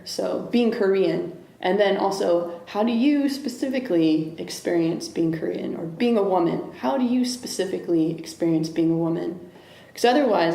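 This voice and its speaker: Woman, interview guest, reflective, hesitant restarts, low gravelly voice, echoey room. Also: warm tone. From United States. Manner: thoughtful, searching for words, many restarts.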